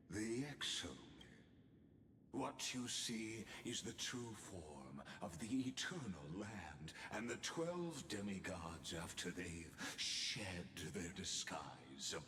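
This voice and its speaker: A man's voice: deep voice, bright, forceful tone, echoey room, slight background noise, heavily acted. A man playing a courteous, sinister machine mastermind in a video game, speaking in ornate, falsely polite phrases. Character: suave, courteous, sinister male machine mastermind observing his experiment.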